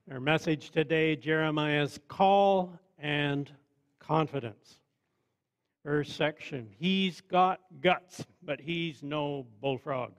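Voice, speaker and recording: Guy preaching a sermon, kinda high voice, calm and chatty with dry little jokes. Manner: measured, conversational preaching with wry asides. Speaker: pastor.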